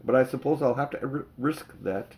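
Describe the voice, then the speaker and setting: A man's voice: medium pitch, normal speed, darkish tone, lightly acted. Plucky storybook schoolboy, anxious and puzzling things through played lightly by a male reader. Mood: anxious, pondering. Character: worried, plucky schoolboy hero.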